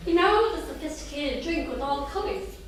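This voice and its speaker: A woman's voice: sits high, lively intonation, normal speed. A woman playing an eager, nervous schoolgirl in a staged reading, hesitant and childlike. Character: eager, nervous young Irish schoolgirl, obedient daughter. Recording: echoey theatre, distant mic, faint noise.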